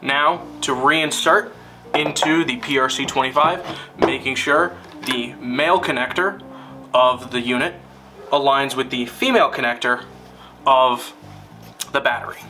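A man narrating a scripted instructional film in a deliberate, formal, step-by-step manner. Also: medium pitch, sharp tone, far from the mic, faint music behind.

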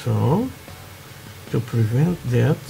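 A man from Portugal with a Portuguese accent, chatting haltingly through a hobby tutorial with many fillers, while building at a workbench. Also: mid-range voice, expressive, gravelly, noisy background. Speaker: scale model builder. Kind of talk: casual hobby tutorial talk. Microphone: camera over work desk, hands only.

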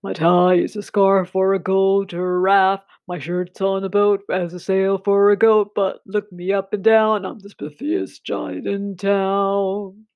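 A woman doing a deep, kindly giant. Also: lively intonation, moderate pace, creaky, few pauses, no room sound, highs cut off. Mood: sing-song. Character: scruffy kind male giant, cheerful.

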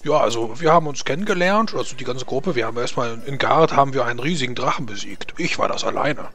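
A man's voice: mid-range voice, lively intonation, fast talker, fairly bright tone. A man running and playing a tabletop roleplaying game, talking in a casual, jokey way. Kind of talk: tabletop roleplay, explaining and acting scenes. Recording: clearly noisy microphone.

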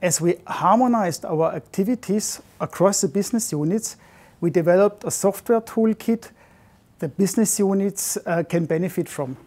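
A man in his fifties answering interview questions in a measured, slightly formal way, recorded on a lapel mic in an office. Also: high-pitched, darkish tone. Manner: measured, slightly formal, non-native phrasing. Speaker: software development manager. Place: modern office.

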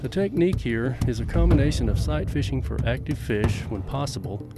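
A man's voice: medium pitch, animated, brisk. Male narrator over music. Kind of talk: scripted instructional narration.

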